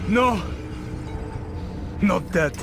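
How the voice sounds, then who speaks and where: Over loud music, a bitter male assassin in a game. The voice is high and slow, swinging widely with anguish and accusation. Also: lively intonation, vocal fry, full character voice. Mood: strained. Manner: anguished, emphatic. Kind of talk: dramatic video game cutscene acting.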